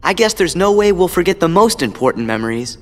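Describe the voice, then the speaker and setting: An earnest teenage boy hero in a video game. He has a high, youthful, husky voice that moves around expressively. It echoes as in a long hall. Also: sing-song, quick pace. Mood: sincere. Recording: long hall reverb over background music.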